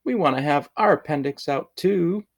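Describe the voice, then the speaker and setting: A man's voice: a little high, expressive, normal speed, creaky, voice pushed up. A man voicing little girls from a children's storybook with an exaggerated childlike delivery. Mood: wailing.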